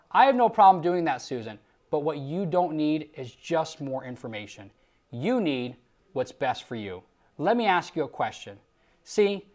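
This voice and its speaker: Emphatic male sales coach. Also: fairly high pitch. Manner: emphatic, rhetorical, coaching delivery. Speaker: Medicare sales trainer and host. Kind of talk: instructional commentary on a sales call, acting out example lines.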